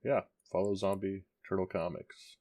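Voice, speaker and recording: A man's voice: sits low, steady tone, moderate pace, a crowd in the background. A man co-hosting a casual podcast, rambling along with lots of ums and restarts. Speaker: podcast co-host. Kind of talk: casual chat.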